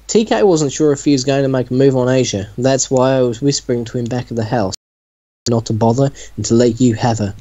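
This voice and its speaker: A man's voice: mid-range voice, dark tone, lo-fi sound, close to an everyday voice. A man voicing teenage male friends with an Australian accent in a theatrical reading of amateur melodrama. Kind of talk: dramatic reading of amateur fiction.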